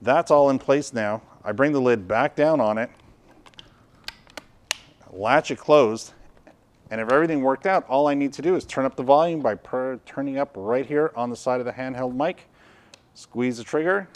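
A man's voice: medium pitch, normal speed, long pauses. A man in his fifties hosting a casual product demonstration, explaining things in a conversational way. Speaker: product reviewer and video host. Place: indoor studio workshop at a tabletop.

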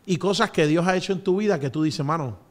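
A middle-aged man with a Puerto Rican accent interviews as a show host, in halting phrases, close to a studio microphone. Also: fairly high pitch. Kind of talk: conversational interview. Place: small indoor studio. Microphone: close studio microphone on a boom arm.

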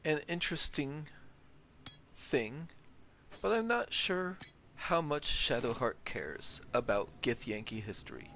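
A man's voice: fairly high pitch, expressive, slow pace, a bit bright, a little hiss or hum. Man commentating a game, faint ambience. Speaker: gaming video host. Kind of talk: game playthrough commentary and reading aloud.

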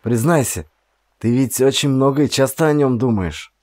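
A man's voice: medium pitch, normal speed, darkish tone, dry recording, voice pushed up, close to an everyday voice. A man voicing a sick young man in an audiobook, stubbornly stoic and needling his friend.

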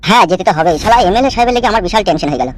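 A woman's voice: mid-range voice, expressive, very fast, natural voice. A woman voicing a brash, grumbling male villager in a comedy cartoon, with a blunt, cocky manner. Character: brash, grumbling, foul-mouthed male village son-in-law.

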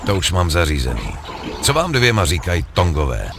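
An actor playing a cool, ruthless gangster in a video game, talking in coarse, persuasive slang. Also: male voice, deep voice, huge pitch swings, creaky, background noise, heavily acted. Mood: calm, scheming. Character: scheming male gangster pitching a drug deal, cool and ruthless. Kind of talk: acted video game dialogue.

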